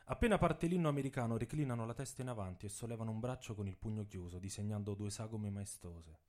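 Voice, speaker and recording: A man narrating a radio theatre piece in a solemn, measured, dramatic storytelling style. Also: lowish voice, darkish tone. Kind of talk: dramatic scripted narration with music. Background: saxophone and drum music.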